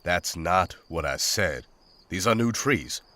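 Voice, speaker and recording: A man playing a stoic, dry-witted monster hunter in a visual novel, speaking in a terse, deadpan manner. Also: deep voice, takes it slowly, full character voice. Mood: calm, matter-of-fact.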